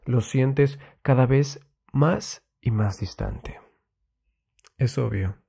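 A man giving a soft, intimate ASMR monologue in a breathy voice that often drops to a whisper, soothing and confiding, close to the mic. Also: mid-range voice, very slow. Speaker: ASMR host giving love advice. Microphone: very close ASMR microphone.

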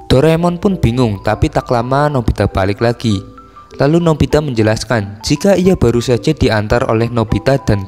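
A man's voice: mid-range voice, darkish tone. A man casually narrates a film plot from a script as a recap host. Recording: long reverb tail with music underneath. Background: background music.

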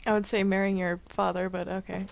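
A woman's voice: mid-range voice, little pitch movement, a little fast, vocal fry, a little hiss or hum. A young woman chatting casually and teasingly while playing a roleplay game. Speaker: roleplay channel host playing a character. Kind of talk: casual chat while gaming.

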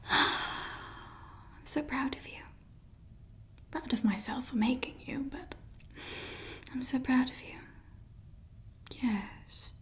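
A proud female mad scientist, whispered by a woman croons over her creation in an ASMR roleplay. The whisper sits a little high and moves unhurriedly, close to the microphone. Sweet praise slides into casual threats. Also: very slow, long pauses, fairly bright tone, slightly rough, full character voice. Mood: doting. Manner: fawning praise with offhand menacing asides. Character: doting, sinister female evil scientist, proud mad inventor. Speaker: ASMR performer.

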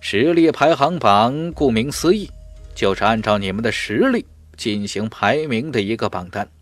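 A man's voice: mid-range voice, expressive, normal speed, no room sound. A man narrating a fantasy audiobook in a dramatic, emphatic storytelling manner. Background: music.

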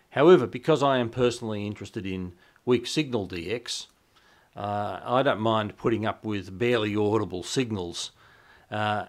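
Australian male tutorial narrator, lowish pitch, measured explanatory delivery. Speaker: shortwave radio hobbyist, presenter. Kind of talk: instructional software walkthrough narration.

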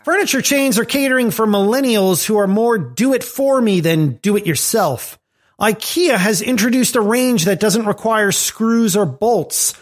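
Wry man reading podcast commentary. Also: high-pitched. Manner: wry, reading from a script with asides. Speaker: podcast host. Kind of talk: scripted commentary on tech and music.

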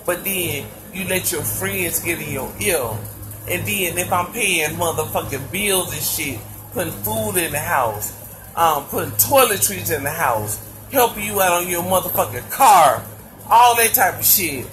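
A woman with a deep voice talking bluntly and profanely to her viewers while lying in bed, speaking into a phone. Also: lively intonation, unhurried, music in the background, rough recording. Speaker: live streamer telling her story. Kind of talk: casual monologue to viewers. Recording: played from her live stream.

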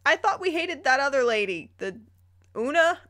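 A woman's voice: high-pitched, very animated, moderate pace, fairly bright tone. A woman reading a story aloud dramatically, breaking in with playful, incredulous commentary. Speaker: YouTuber reading fan fiction aloud.

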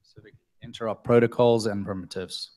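Man presenter, lower voice, hesitant list delivery at a conference. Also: steady tone, fairly quick, mellow. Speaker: topic table presenter. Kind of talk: brief presentation at a workshop. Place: large conference hall.

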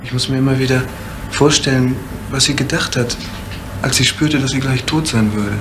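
An actor playing a brooding, tormented young grieving son in a 1970s German radio drama. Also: male voice, medium pitch, normal speed, lightly acted. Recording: echoey room, noisy old recording.

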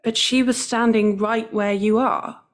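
A woman playing a flustered woman anxiously searching for her missing sister in a radio play, husky and creaky. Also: a little high, fairly even intonation, a little slow, close to an everyday voice.

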